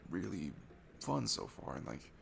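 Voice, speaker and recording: Casting: male actor for a sweet, bashful young man, lowish and gravelly, hesitant and stammering, intimate audio roleplay with music underneath. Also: big pitch swings, a little slow, full character voice. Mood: nervous, smitten. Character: shy, sweet, nervous young man at a bar. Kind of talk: ASMR roleplay audio, intimate one-sided conversation. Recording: music behind the voice.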